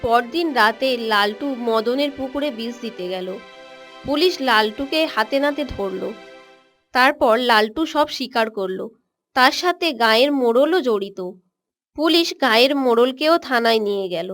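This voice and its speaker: A woman's voice: fairly high pitch, lively intonation, moderate pace, a bit bright. A woman giving scripted narration for a cartoon story. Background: music.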